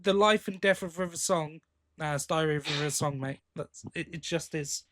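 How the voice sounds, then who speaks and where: A man with a slightly high voice talks as a podcast co-host. His delivery is casual and rambling, with hesitations and little questions tacked onto the ends of his thoughts. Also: moderate pace, a bit bright. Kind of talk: casual chat about audio drama releases.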